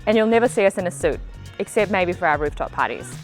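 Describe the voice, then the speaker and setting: Lower-pitched Australian woman presenting. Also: animated, quick pace, faint music behind. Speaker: company presenter. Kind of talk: scripted recruitment video narration.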